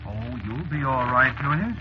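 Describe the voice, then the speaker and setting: An actor playing a calm, polite stranger, genial and matter-of-fact about death, in an old-time radio drama. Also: male voice, medium pitch, normal speed, close to an everyday voice. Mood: serene, resigned. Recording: muffled, noisy vintage radio recording.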